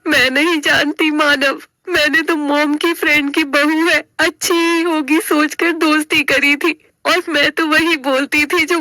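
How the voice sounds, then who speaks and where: A woman's voice: high voice, pushed and bright, dry recording. A woman playing a young, earnest daughter-in-law in a family story, pleading and emotional.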